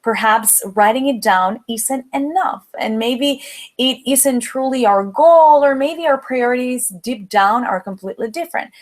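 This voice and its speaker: A woman's voice: on the high side, a little slow. A young woman from the Dominican Republic with a Dominican Spanish accent, leading a motivational webinar in an upbeat, chatty way. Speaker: webinar host, planner business owner. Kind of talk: live webinar presentation.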